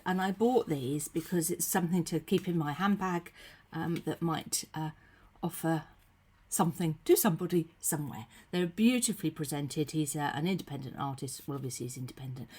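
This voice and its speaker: A woman with a cold, her voice husky and croaky, giving a gentle, chatty devotional talk from her room into her computer. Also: lowish voice. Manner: gentle, chatty, with frequent ums and soft asides. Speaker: faith leader giving a short devotional. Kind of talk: casual devotional talk to camera. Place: her own room at home. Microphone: computer webcam.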